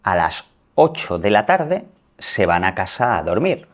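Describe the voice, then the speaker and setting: Low-voiced teacher guy, pitch bounces around a lot, tells a simple story for learners. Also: sing-song, moderate pace. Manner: clear explanatory delivery, rephrasing words. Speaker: language teacher in a video.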